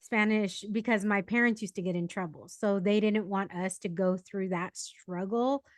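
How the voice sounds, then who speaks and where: A woman co-hosting a podcast, chatting casually and telling animated stories with fillers. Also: medium pitch, normal speed, no room sound, studio quality. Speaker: podcast co-host. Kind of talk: casual chat.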